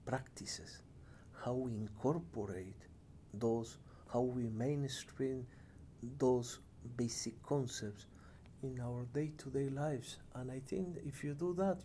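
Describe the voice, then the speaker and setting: A middle-aged man from Ecuador with a Spanish accent, giving reflective interview answers in a measured, halting, earnest way. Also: mid-range voice, warm tone, a little hiss or hum. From Cuenca, Ecuador. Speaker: international development professional, interviewee.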